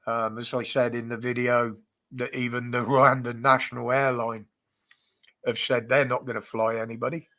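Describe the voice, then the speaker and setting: A man's voice: mid-range voice, little pitch movement, normal speed, bright, forceful tone. A British man hosting a casual livestream chat, his nose blocked up, chatty and greeting viewers by name with frequent chuckles. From United Kingdom. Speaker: livestream host.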